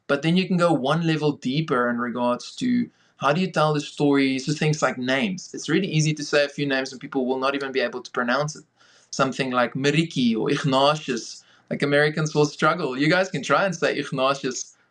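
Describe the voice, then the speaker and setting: A man's voice: mid-range voice, steady tone, brisk, a bit bright. A man with a South African accent giving conversational interview answers as a filmmaker guest on a podcast.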